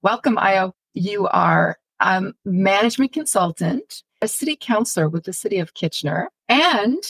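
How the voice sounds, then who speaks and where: A woman's voice: mid-range voice, animated, moderate pace, a bit bright, slightly rough, no room sound, poor audio. A woman hosting a podcast interview, introducing her guest and asking questions with enthusiastic, effusive delight. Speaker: podcast host.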